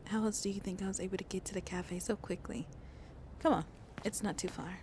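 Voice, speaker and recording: A woman playing a shy but playfully flirty vampire on a date in an ASMR roleplay, speaking softly and intimately and often slipping into breathy whispers. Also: medium pitch, steady tone, fairly quick, background noise, lightly acted. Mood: romantic, teasing.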